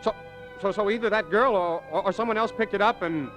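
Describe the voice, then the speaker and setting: A nervous, evasive male suspect in a vintage radio crime drama. His voice is fairly high, and he stammers defensively. Faint music sits behind the old recording. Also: fairly quick, a bit bright. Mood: flustered. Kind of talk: 1940s-50s radio crime drama acting.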